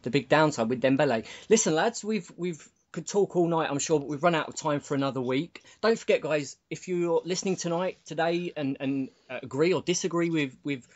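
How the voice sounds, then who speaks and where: A man's voice: a little high, brisk, hardly any pauses, lo-fi sound. A man hosting a casual sports podcast and chatting with his panel. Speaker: podcast host.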